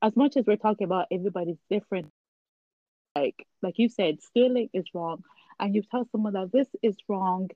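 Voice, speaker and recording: A woman's voice: medium pitch, a little fast, dry recording, narrow, tinny audio. A woman chatting candidly in a casual conversation, livening up as she tells anecdotes.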